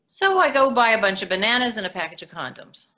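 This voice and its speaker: Woman playing a bright-voiced, blunt mother. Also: a little high, sing-song, fast talker. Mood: matter-of-fact. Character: practical, blunt mother of two daughters. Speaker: actor in a readers theatre. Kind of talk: comic monologue reading.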